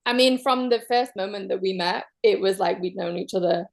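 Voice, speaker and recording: A woman's voice: medium pitch, gravelly. Mancunian woman telling her story. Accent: Mancunian English. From Manchester, UK. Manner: open, measured, many soft "um"s. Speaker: guest. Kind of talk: casual personal storytelling.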